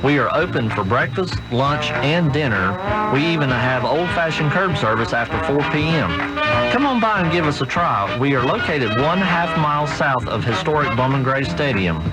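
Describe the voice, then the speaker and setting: A man's voice: a little high, animated, moderate pace, poor audio. A man reading a scripted radio advert for his own local business, heard on an AM radio aircheck. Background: loud music.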